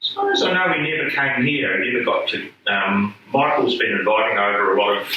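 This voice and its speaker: A man from New Zealand, a painter, talking casually at a gallery event, self-deprecating and halting with many restarts. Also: fairly low pitch, huge pitch swings, sharp tone, dull audio, a little hiss or hum. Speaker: painter guest. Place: gallery room. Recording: echoey room from far off.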